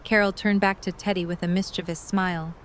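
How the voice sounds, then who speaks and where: A woman's voice: on the low side, little pitch movement, a little fast. Female story narrator, faint background noise.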